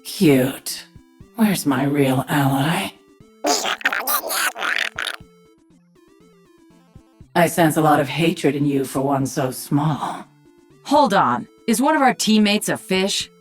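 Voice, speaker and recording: Game lead: battle-hardened alien queen, low husky register, dry sarcasm, absolute command, music bed. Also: lively intonation, slow, full character voice. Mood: sarcastic. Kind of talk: video game character acting.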